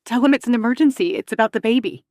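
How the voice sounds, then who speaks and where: A woman's voice: on the high side, rapid-fire, darkish tone, no echo, clean studio sound, close to an everyday voice. An actress in an audio drama playing a wounded, anxious young wife, emotional and pleading in her delivery. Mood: urgent.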